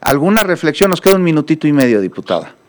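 A man's voice: on the high side, big pitch swings, fast. A man hosting a news interview, pressing his guest with pointed questions and adding critical commentary.

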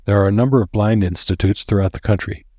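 A man's voice: on the low side, steady tone, quick pace, darkish tone, no echo, studio quality, natural voice. A man playing a serious, practical male doctor in a storybook, direct and rather grim.

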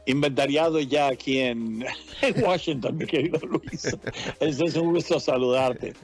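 Relaxed laughing journalist man. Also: mid-range voice, a little slow, slightly rough, soft background music. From Mexico. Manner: relaxed, laughs often, rambling. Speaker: journalist, correspondent. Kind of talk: casual interview chat.

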